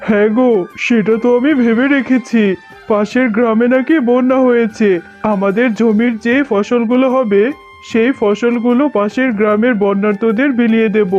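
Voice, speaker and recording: A man's voice: very high-pitched, fairly even intonation, normal speed, darkish tone, highs cut off, faint music behind. A man voicing a caring, resolute jackal husband and father in a cartoon, speaking earnestly and reassuringly. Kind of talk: cartoon dialogue.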